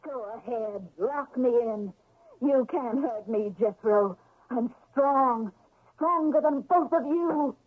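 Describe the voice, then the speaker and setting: A woman playing a defiant, cold witch of a wife in a radio drama, speaking in a deliberate, theatrical way. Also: on the high side. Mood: furious, cursing. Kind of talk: 1970s radio drama acting. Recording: noisy, phone-like old radio recording.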